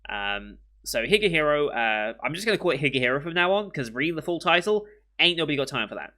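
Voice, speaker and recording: British anime youtuber, bit sniffly, bright voice, lots of ums. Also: male voice, on the high side, sing-song, quick pace. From Britain. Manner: rambling, with many ums and restarts. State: sniffly with a cold. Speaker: anime reaction host. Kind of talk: casual chat to camera.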